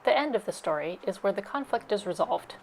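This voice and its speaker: A woman's voice: mid-range voice, lively intonation, a little fast, creaky, faint music behind. A woman library presenter giving scripted instructional narration, explaining things step by step.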